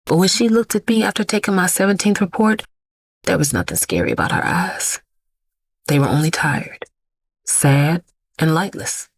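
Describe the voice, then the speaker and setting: Grieving, defiant vigilante mother, middle-aged; low register, very dry close sound, emphatic audio-drama monologue. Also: expressive, dry recording. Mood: weary, sorrowful. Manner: emphatic, deliberate, repeating words for weight.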